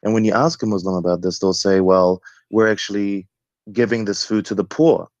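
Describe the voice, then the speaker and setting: A man's voice: lowish voice, steady tone, brisk. A man in his thirties from Egypt, speaking conversationally as a caller telling his own views and experience. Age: 30s. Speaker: caller to the show. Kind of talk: conversational call-in testimony. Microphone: remote call connection. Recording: muffled, echoey call-in line.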